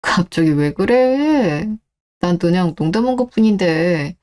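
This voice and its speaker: Woman as evasive husband, mellow voice. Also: lowish voice, animated, unhurried, pitched below the performer's own voice. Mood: dismissive. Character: evasive, touchy husband hiding something. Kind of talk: scripted radio-drama dialogue.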